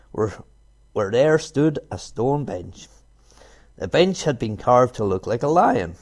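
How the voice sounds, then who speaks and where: A man reading a children's storybook aloud in an expressive narrating style. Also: mid-range voice. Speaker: storybook reader.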